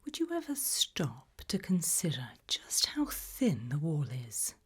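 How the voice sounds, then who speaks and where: A woman whispering in a breathy, hushed and deliberate ASMR style, putting questions to the listener. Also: sits low, wildly swooping pitch. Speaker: ASMR host.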